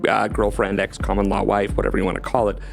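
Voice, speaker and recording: Low-voiced man over faint music. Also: completely flat delivery, fast, creaky. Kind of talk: semi-scripted personal account to viewers.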